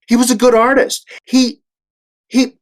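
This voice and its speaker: A man's voice: very high voice, level tone, a little slow. A man talks as a panelist in a casual discussion. He is emphatic and often repeats a phrase to press his point, starting again as he builds it.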